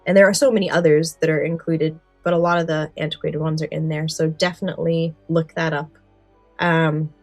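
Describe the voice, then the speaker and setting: A woman with a slightly low voice chats as a podcast co-host, casual and enthusiastic. She is recorded dry and close, with music playing underneath. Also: level tone, brisk, no echo. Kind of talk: casual chat.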